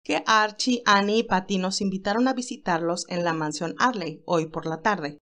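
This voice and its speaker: A woman's voice: medium pitch, normal speed, a bit bright, lightly acted. A woman voicing an earnest, tender young nurse in an audio story, emotional and caring. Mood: bright, caring.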